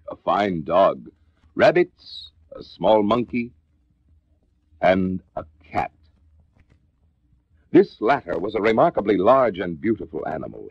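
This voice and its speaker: An actor playing a brooding male narrator confessing a Gothic horror tale, in a theatrical, deliberate 1950s dramatic reading with formal diction, on a vintage record. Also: fairly low pitch, husky, no echo. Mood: fond, wistful. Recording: dull vintage 1950s spoken-word record.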